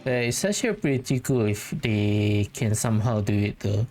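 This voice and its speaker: A video game host giving casual commentary and thinking out loud while playing. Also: mid-range voice, normal speed.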